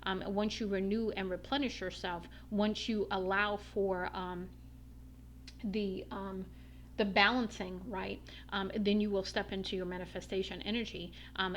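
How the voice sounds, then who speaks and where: A woman giving a casual tarot reading, her voice slightly raspy from a long day, meandering with many ums and uhs. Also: mid-range voice, little pitch movement, normal speed, slight background noise. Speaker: tarot reader.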